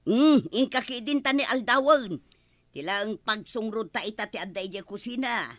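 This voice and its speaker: A woman playing a nagging, sharp-tongued village wife in a Filipino radio comedy drama. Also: on the high side, animated, moderate pace, no room sound.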